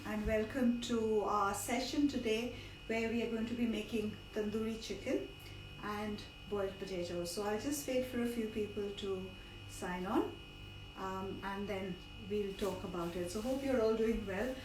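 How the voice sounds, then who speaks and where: A woman's voice: mid-range voice, normal speed. A middle-aged woman from India with a partly lost voice, chattily leading an informal live cooking demonstration with frequent asides. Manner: chatty, digressive instruction with asides. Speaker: cook hosting a live cooking class. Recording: roomy, distant mic with background noise.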